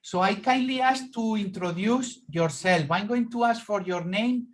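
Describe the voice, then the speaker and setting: Man, meeting moderator, video call, halting, repeats words. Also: high-pitched. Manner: halting, repeats words while calling on participants.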